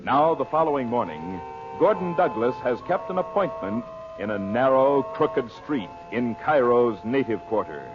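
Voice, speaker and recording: A man's voice: medium pitch, animated, normal speed. A man announcing and narrating in a formal, declamatory vintage radio style, with polished, scripted delivery. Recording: muffled old radio broadcast recording. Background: music.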